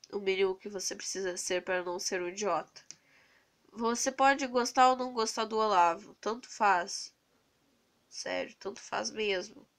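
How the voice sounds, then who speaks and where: A young Brazilian woman with a cold, hoarse and stuffy-nosed, venting earnestly into her phone camera in a rambling casual vlog. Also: mid-range voice, a bit bright. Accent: Brazilian Portuguese. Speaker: vlogger. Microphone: phone camera held close to the face.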